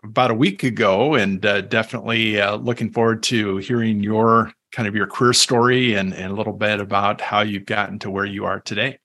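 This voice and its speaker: A man's voice: on the low side, steady tone, brisk, few pauses, pushed and bright. A man hosting an interview, asking questions in a casual, loose way with fillers. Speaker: interviewer, host.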